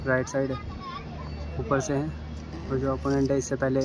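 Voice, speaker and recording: A man's voice: mid-range voice, normal speed, music in the background. A young man giving live commentary on a kite fight from a city rooftop, filming on his phone. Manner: steady running commentary, flat delivery. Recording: outdoor phone recording with street noise.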